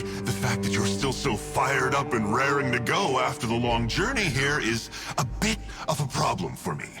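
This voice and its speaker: A man playing a cocky, teasing young villain in a video game, with a flippant, theatrical delivery. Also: medium pitch. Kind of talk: scripted game cutscene dialogue.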